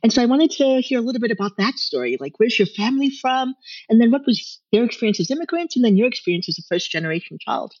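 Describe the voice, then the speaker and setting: A woman's voice: a little high, very animated, quick pace, darkish tone, no echo. A woman hosting an interview in a conversational, affirming and attentive way. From immigrant. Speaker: interview host. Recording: dull, lo-fi, dry sound.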